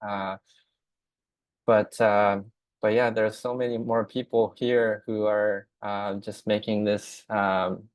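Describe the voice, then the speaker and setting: A man from Hong Kong whose first language is Japanese, giving a slide presentation about his work as a glass artist, speaking haltingly with many fillers and restarts. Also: lowish voice, flat delivery, smooth, no room sound.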